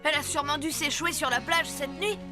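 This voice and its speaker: A woman's voice: sits high, fairly even intonation, brisk, music in the background, lightly acted. A woman voicing a brave, eager young boy pirate leader in a French cartoon. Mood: eager, rallying. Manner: eager, declaiming.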